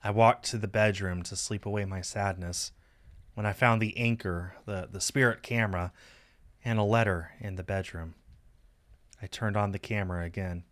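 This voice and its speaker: Low-voiced man narrating a story, dry sound. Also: steady tone, moderate pace, a bit bright, no room sound. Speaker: streamer reading a visual novel aloud.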